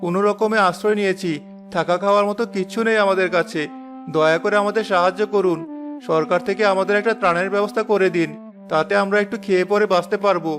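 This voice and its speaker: A man's voice: sits high, steady tone, quick pace, a bit bright, faint music behind. A man voicing an earnest, worried poor villager and family man in a cartoon moral tale, speaking with urgency. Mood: pleading. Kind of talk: animated moral story voice acting.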